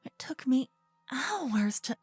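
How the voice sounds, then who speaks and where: A woman's voice: sits high, big pitch swings, slow, slightly rough, no room sound, voice pushed up, heavily acted. A woman playing a flamboyant, dramatic female royal tailor in a fantasy ASMR roleplay, bubbly and haughty. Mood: impressed, chatty.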